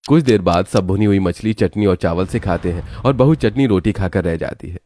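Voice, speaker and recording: Male narrator for animated family tales, low register, scripted storytelling delivery. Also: moderate pace.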